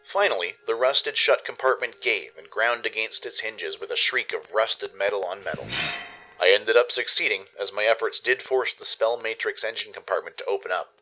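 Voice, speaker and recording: Bright, forceful low-pitched male story narrator. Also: moderate pace, faint music behind, low-quality recording. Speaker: narrator and producer. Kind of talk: scripted dramatic story narration.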